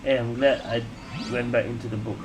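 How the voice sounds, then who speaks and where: A woman's voice: very deep voice, fairly even intonation, fairly quick, low-quality recording. A woman giving casual, laid-back gameplay commentary in short muttered asides. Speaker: gaming video creator. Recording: game music loud behind voice.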